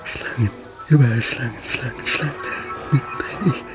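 An actor playing a helpless father's anguished inner voice in a radio drama, breathy, strained and halting. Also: medium pitch, over music, natural voice. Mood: panicked. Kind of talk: German radio drama monologue.

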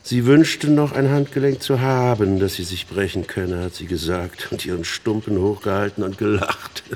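Actor as deep-voiced, embittered middle-aged storyteller. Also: male voice, normal speed, darkish tone, close to an everyday voice. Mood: agitated, rueful. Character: embittered older man haunted by his missionary sister's death. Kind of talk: dramatic monologue in a German radio play.

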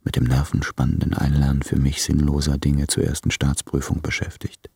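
An actor playing an anguished, self-tormenting letter-writer confessing to his lover in a literary radio drama, brooding and halting. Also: extremely low pitch, completely flat delivery, vocal fry, mellow, breathy edge, dry recording, clean studio sound, exaggerated voice. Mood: brooding recollection.

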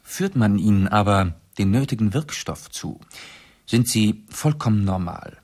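An actor playing a shrewd English gentleman detective in a 1970s radio play, speaking in a deliberate, analytical and urbane manner. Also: lowish voice, expressive. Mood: analytical, composed. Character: shrewd English gentleman detective, methodically explaining his deductions.

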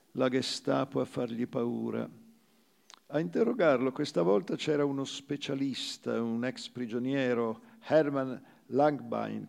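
Man, heavily creaking gravelly voice, slow grave narration, literary stage reading, long hall reverb. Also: mid-range voice, a little mellow. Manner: grave, measured. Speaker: actor reading a first-person story. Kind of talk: literary narration for radio theatre.

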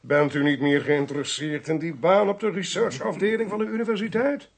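Creaky-voiced, commanding investigator. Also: male voice, on the high side, fairly even intonation. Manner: brisk, authoritative, dryly ironic. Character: commanding, shrewd male investigator, insistent and dryly ironic. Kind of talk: Dutch radio play acting.

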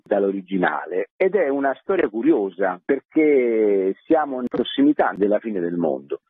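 A man's voice: fairly low pitch, slightly rough, no echo. A man interviewed on radio as a theatre director, telling things in a conversational storytelling way. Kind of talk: radio interview, describing a play. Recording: phone-like narrow band, radio interview.

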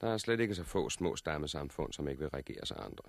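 An actor in a 1960s radio drama playing a confident, courteous helicopter pilot who explains things matter-of-factly as a messenger from a survivors' colony. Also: male voice, extremely low pitch, animated, creaky, dry recording, full character voice. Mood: courteous, businesslike.

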